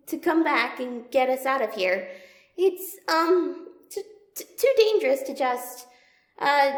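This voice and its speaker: A woman's voice: high-pitched, roomy sound. A woman voicing a nervous, timid female monster scientist who stammers, in an audio drama. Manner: stammering, hesitant.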